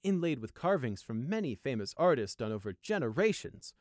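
Man as rich storybook unicorn, male, pompous, boastful, airy drawl, very animated pitch, dry sound. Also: dry recording, lightly acted. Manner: airy, self-satisfied, drawn-out listing.

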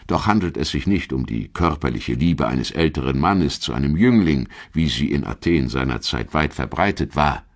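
A man reading scripted documentary narration in a measured, articulate storytelling manner. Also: a bass voice, animated.

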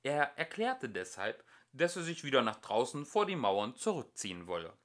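A man reading a fairy tale aloud as an amateur audiobook narrator, with expressive storytelling. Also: medium pitch, moderate pace.

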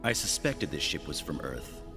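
A man voicing a stern, suspicious, authoritative young captain in an animated sci-fi dub. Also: rumbling low, moderate pace, exaggerated voice. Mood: commanding. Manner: authoritative, terse. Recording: reverberant dub track with music underneath.

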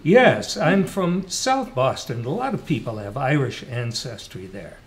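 A man voicing a calm, reserved young American woman in a storybook reading. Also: medium pitch, unhurried, a little hiss or hum.

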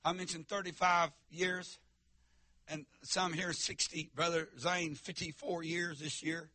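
Fiery preacher, bright and dry. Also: male voice, fairly high pitch, little pitch movement, a little slow, no echo. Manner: fiery holiness preaching, stammering restarts, calls out to the congregation. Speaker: pastor. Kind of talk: sermon. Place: church sanctuary.

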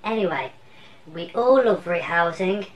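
A man's voice: high voice, unhurried, highs cut off, slight background noise. A man imitating an upbeat woman pet youtuber, giving a cheerful channel intro in a room lined with enclosures. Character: bubbly female exotic-pet youtuber.

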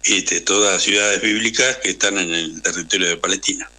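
A man's voice: low voice, steady tone, normal speed, bright, forceful tone. A male radio host with a Rioplatense accent, asking questions informally with hesitant fillers. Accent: Rioplatense Spanish. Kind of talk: radio interview.

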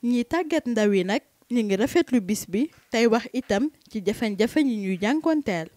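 Mellow Senegalese woman narrating news. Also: fairly high pitch, expressive, normal speed. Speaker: reporter, narrator.

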